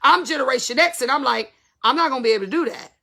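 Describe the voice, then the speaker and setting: A woman's voice: high-pitched, rapid-fire, vocal fry, sharp tone. A woman of fifty giving blunt, emphatic salon business advice in a casual, colloquial way. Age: 50s. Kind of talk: casual talk to camera.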